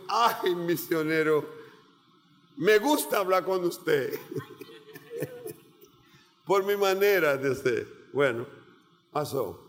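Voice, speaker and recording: Pastor, male, Portuguese accent, slow and deliberate, higher voice, long hall reverb. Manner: slow, emphatic preaching with repeated phrases. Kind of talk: sermon.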